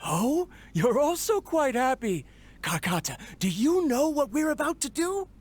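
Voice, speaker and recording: A man's voice: very high voice, sing-song, measured pace, background noise, heavily acted. A man voicing an ambitious, eccentric inventor in a video game, proud and dreamy, speaking in grandiose, affectionate monologue. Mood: tender, wistful.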